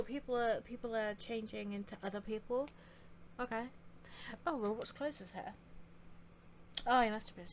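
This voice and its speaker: A woman's voice: medium pitch, background noise. A woman giving casual, informal gameplay commentary, blurting out her reactions as she plays. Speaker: game streamer.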